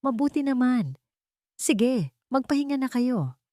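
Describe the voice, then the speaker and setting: A woman's voice: high voice, very animated, measured pace, dark tone, no room sound, clean studio sound, pitched above the performer's own voice. A woman voicing a two-faced senior housemaid in a story, an aunt figure who admonishes firmly. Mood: firm warning.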